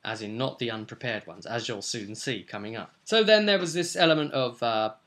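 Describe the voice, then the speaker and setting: A man in his twenties with a bright, forward voice talks casually as a game master. He recaps a tabletop role-playing session in an explanatory, vlog-like way, with an ordinary male pitch. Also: animated, a little fast. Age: 20s. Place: small cluttered room at home.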